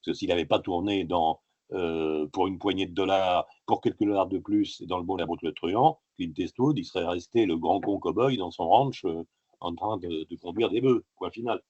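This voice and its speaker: Male author guest, husky and low, rambling anecdotes full of hesitations, dry lo-fi sound. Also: darkish tone, no room sound. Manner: halting, digressive storytelling full of filler sounds. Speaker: author being interviewed. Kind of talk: casual interview talk.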